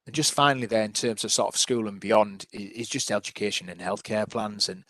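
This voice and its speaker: A man's voice: lowish voice, fairly quick, pushed and bright, no echo. A man presenting public health work to a council panel over a video call, hesitant, with many restarts.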